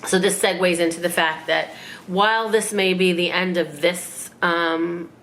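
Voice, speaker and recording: A woman in her forties, raspy from a cold, chatting and rambling in a casual haul vlog at home. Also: fairly low pitch, steady tone, measured pace, a bit bright, low-quality recording. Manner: chatty, rambling, self-mocking. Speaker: fashion vlogger. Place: living room at home.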